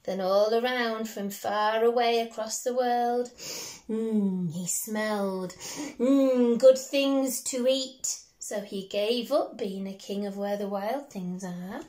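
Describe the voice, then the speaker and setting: An English woman reads a children's picture book aloud in a fairly high voice. She takes her time, stretching words out expressively as a teacher does at storytime. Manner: expressive, drawn-out storytelling with hushed asides. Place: small bedroom at home, indoors.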